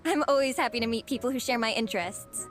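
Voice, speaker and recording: A woman voicing an earnest, excitable young female comic fan. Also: a little high, quick pace, natural voice. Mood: friendly. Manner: eager, earnest. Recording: game cutscene with background music.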